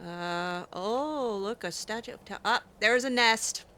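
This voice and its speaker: A woman's voice: mid-range voice, takes it slowly, sharp tone. A woman playing a game in character, talking in a sarcastic, exasperated, dramatic way. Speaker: let's play host roleplaying her character.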